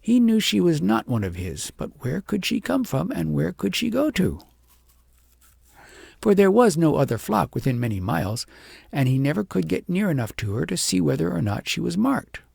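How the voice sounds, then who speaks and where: Gravelly male storybook narrator. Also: on the high side, sing-song, moderate pace, a little mellow, no echo, studio quality. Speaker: audiobook reader.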